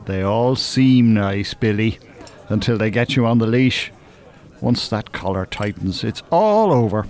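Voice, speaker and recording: A man's voice: lowish voice, animated, a little slow, darkish tone. An actor playing a sardonic, well-spoken older Dublin man, wordy and mock-formal in a radio drama.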